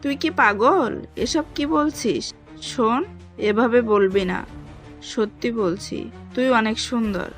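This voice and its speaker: Woman acting a caring bossy young girl friend in an audio drama, highish voice, bit of music. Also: slow. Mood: scolding. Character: caring, scolding young servant-family girl, loyal friend.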